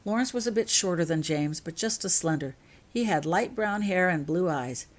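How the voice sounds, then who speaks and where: Low-pitched woman reading a story. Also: animated, normal speed. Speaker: author reading own fan fiction. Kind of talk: scripted narration, storybook reading.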